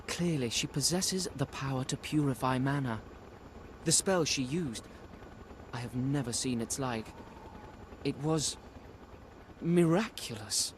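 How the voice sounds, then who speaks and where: A man's voice: mid-range voice, a little hiss or hum, close to an everyday voice. A man playing a serious, thoughtful, formally spoken companion in a video game. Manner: measured, formal. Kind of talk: video game cutscene acting.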